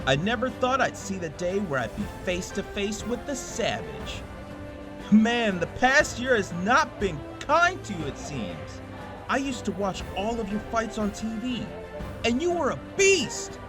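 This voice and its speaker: Casting: male actor as boastful arena brawler for a visual novel, very high voice, slow taunting delivery, music underneath. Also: wildly swooping pitch, exaggerated voice. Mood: menacing taunts. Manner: taunting, boastful, foul-mouthed. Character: cocky, loud-mouthed brawler, a gloating male tournament fighter.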